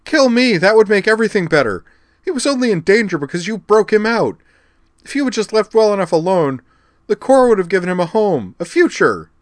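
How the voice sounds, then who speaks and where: A woman's voice: sits low, very animated, brisk, dry recording, pitched above the performer's own voice. A man voicing a bitter, frightened young blonde woman who snaps and cries out in desperation. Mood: bitter, desperate.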